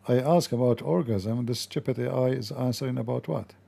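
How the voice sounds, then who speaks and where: Sarcastic man with a mellow voice. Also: mid-range voice, fairly quick, gravelly, breathy edge. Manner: sarcastic, mocking. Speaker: host. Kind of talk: commentary.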